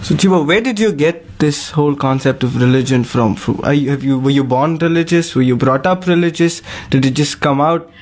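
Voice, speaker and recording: A man's voice: fairly high pitch, fairly quick, few pauses, a little mellow, slight background noise. A twenty-one-year-old man talking conversationally in a group discussion.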